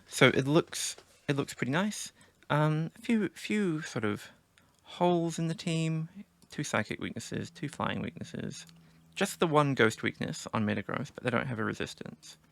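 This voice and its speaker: Gravelly man thinking aloud. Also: medium pitch, fairly bright tone, dry recording. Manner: thinking aloud with many restarts and fillers. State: losing his voice, sore throat. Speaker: gaming video creator. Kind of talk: casual commentary on team drafting.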